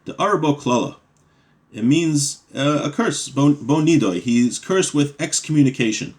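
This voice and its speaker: A man's voice: a little high, animated, normal speed. A man teaching a class on a religious text, reading passages aloud and then explaining them plainly, with some ums and restarts.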